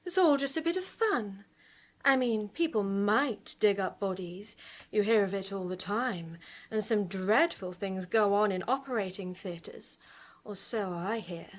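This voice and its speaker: A woman's voice: medium pitch, lightly acted. An actress playing a sly, bewitching, playfully sinister horror-show hostess, coy and arch, hosting a gothic radio play. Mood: teasing, sly. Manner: coy, seductive, arch, drawn-out. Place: festival theatre stage.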